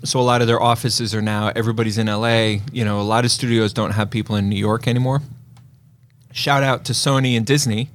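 A man's voice: on the low side, steady tone, quick pace. A man co-hosting a podcast in casual chat, with a rambling, conversational way of talking. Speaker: podcast co-host.

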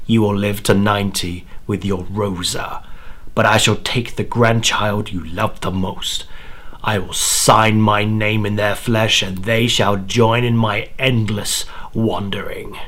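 A man acts out a cruel spirit that preys on the dying. The voice is low and drawn out. It mocks and menaces, in the manner of a horror audio tale. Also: fairly even intonation, takes it slowly, fairly bright tone. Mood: menacing bargaining. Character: cruel demon spirit, mocking, hungry, taunting the dying. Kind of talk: horror audio story acting.

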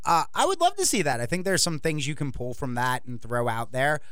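A man hosting a podcast in casual chat, rambling and animated, with many restarts. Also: a little high, brisk, clear, resonant tone, no room sound, studio quality. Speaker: podcast host.

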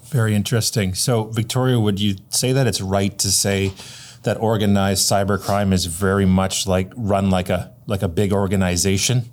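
A man's voice: lowish voice, fairly even intonation, moderate pace, a little mellow. A man co-hosting a podcast, chiming in with short, dry remarks and questions. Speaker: podcast co-host. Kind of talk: casual co-hosting banter.

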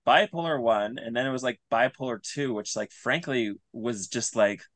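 A man as an interview guest, talking informally and reflectively, halting as he searches for his words. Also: medium pitch, a bit bright, dry recording. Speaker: podcast guest, writer and audio creator.

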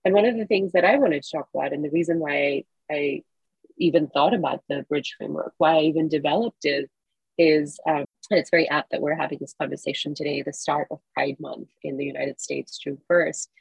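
Guest author from Singapore: a woman with a low, husky voice, earnest and encouraging, recorded dry and close. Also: steady tone, brisk, no echo, poor audio. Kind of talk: podcast interview answer.

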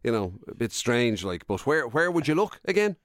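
Animated, teasing Irish man on radio. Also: medium pitch, normal speed, no echo, studio quality. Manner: wry, teasing, self-deprecating. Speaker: radio show co-host. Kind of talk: casual comedic banter.

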